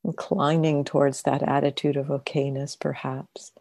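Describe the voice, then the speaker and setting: A woman with a low, mellow voice leads a guided meditation. She speaks very slowly and gently, leaving long pauses between short phrases. The sound is close and dry. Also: little pitch movement, vocal fry, dry recording. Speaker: meditation teacher.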